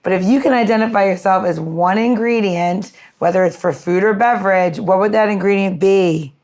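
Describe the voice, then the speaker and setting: A woman's voice: mid-range voice, moderate pace, darkish tone. A woman co-hosting a podcast in casual, bantering chat, joking and salty-tongued. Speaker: podcast co-host.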